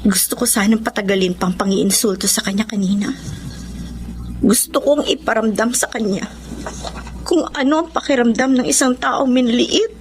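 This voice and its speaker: High-voiced, proud, wounded daughter. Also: female voice, slight background noise, low-quality recording. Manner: emotional, declamatory. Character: proud, wounded young daughter, bitter yet principled. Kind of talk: Tagalog radio drama acting.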